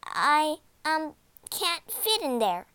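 A woman's voice: high voice, sing-song, takes it slowly, sharp tone, no echo, pitched above the performer's own voice, heavily acted. A woman voicing a polite, anxious yet brave young girl in a children's storybook, speaking earnestly and a little hesitantly.

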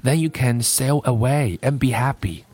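A man voicing a loving female apple tree in a storybook, gentle, apologetic and endlessly giving. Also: medium pitch, normal speed, close to an everyday voice. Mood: welcoming. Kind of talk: storybook character voice.

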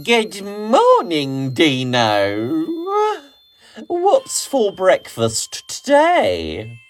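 A man voicing a gentle, encouraging female dinosaur friend in a children's storybook. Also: fairly high pitch, wildly swooping pitch, drawn-out words, exaggerated voice. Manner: gentle, coaxing.

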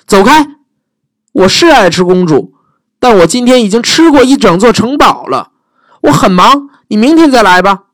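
A man voicing a boastful, busy male dragon who brushes off visitors in a children's story, talking loudly and pompously. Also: sits high, very animated, moderate pace, no echo, voice pushed up. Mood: dismissive.

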